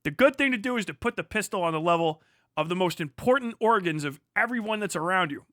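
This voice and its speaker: An American man hosts a livestream reaction with a high, sharp-edged voice. He talks casually, swears freely and leans on sarcasm and disbelief. The sound is very dry, with no room to it. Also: animated, quick pace, dry recording. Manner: sarcastic, profane, incredulous. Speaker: livestream host reacting to a video.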